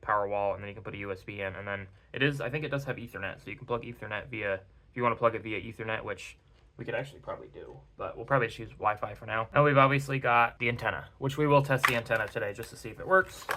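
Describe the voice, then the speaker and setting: A young man with a raspy, hoarse voice from a cold, reviewing a tech gadget in a casual, rambling way at home. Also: lowish voice, racing, sharp tone. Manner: rambling, self-correcting.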